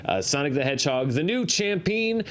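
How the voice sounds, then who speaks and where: A man's voice: fairly high pitch, animated, normal speed, fairly bright tone, a little hiss or hum. Man hosting, conversational and nerdy. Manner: self-deprecating, nerdy about numbers. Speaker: host of a box office show. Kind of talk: conversational box office commentary.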